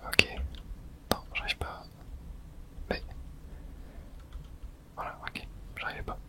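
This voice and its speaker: A person whispering casual, hushed ASMR commentary while playing a video game, breathy and thinking aloud. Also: slow.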